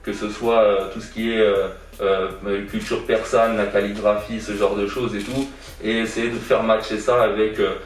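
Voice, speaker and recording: A young man answers interview questions casually, stalling on fillers and repeating words as he thinks. His voice sits a bit low. The sound is very echoey and distant, with faint music behind. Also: flat delivery, moderate pace. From born in Iran, raised in France. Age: young adult. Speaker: guest artist. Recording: echoey room, distant from mic.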